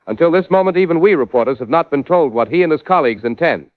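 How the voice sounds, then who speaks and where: A man's voice: a little high, lively intonation, quick pace, no echo, close to an everyday voice. A man playing an earnest, formal wartime radio correspondent reporting dramatically from the field. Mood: tense awe. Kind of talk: 1940s radio reporting acting. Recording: thin phone-like radio transmission.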